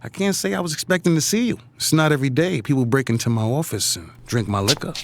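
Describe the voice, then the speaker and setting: A man's voice: medium pitch, quick pace, lightly acted. A man playing an authoritative, defensive city mayor in an audio drama, commanding and wry. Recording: music playing behind the voice.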